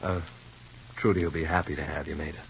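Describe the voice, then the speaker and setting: An actor playing a dry, impatient but obliging husband in a 1950s radio soap, with a wry muttering manner. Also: male voice, extremely low pitch, flat delivery, fast, vocal fry, heavily acted. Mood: resigned, obliging. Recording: dull, hissy old radio recording.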